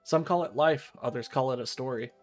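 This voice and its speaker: A man reading the visual novel narration of a fatalistic, aloof teenage schoolgirl outsider, in a measured storytelling way. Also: medium pitch, faint music behind, natural voice. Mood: solemn. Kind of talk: scripted visual novel narration, read aloud.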